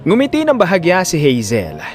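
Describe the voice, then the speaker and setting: A man's voice: a little high, wildly swooping pitch, a little fast, faint music behind. A man with a Filipino accent narrating an audio drama in a scripted, dramatic storytelling style.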